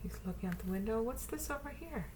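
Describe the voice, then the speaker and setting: A woman reading a picture-book poem aloud to children in an expressive, animated way, drawing out phrases and pausing for asides to her listeners. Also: fairly high pitch, noisy background.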